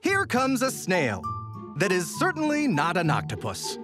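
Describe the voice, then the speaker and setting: A woman's voice: on the low side, huge pitch swings, a little slow. A woman narrating a children's storybook read-aloud in a playful, emphatic storytelling manner. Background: music under the narration.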